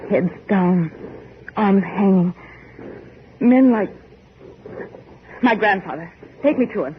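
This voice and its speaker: An actress playing a bewildered, insistent newcomer with dramatic questioning, in 1940s radio horror style. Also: female voice, medium pitch, animated, slow pace. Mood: shocked, urgent. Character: bewildered, insistent heir newly arrived on island. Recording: scratchy, muffled 1940s radio recording.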